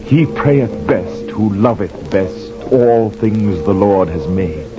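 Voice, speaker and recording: A man's voice: lowish voice, over music. An actor playing a haunted, penitent mariner who tells his tale in grave, poetic radio-drama verse. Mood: weary reverence. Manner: slow, solemn, impassioned, poetic delivery. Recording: dull lo-fi radio recording, clear noise.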